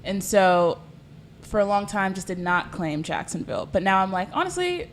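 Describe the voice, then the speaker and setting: A young woman from South Sudan talks as a podcast guest, loose and chatty, her sentences strung together with plenty of "like" and "um". Her pitch sits in an ordinary middle range, and faint noise hangs behind her. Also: very animated, moderate pace. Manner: chatty, full of fillers like "like" and "um". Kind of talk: casual chat.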